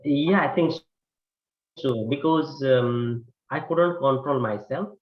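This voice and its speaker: A man's voice: mid-range voice, slow pace. A man who is a student in a test preparation class, speaking hesitantly in discussion. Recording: echoey, dull lo-fi sound.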